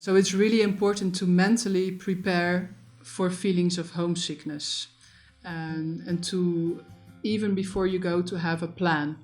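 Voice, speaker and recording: A woman counselor giving measured advice in an interview. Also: fairly low pitch, little pitch movement, darkish tone, faint music behind. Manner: measured, explanatory. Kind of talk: advisory interview.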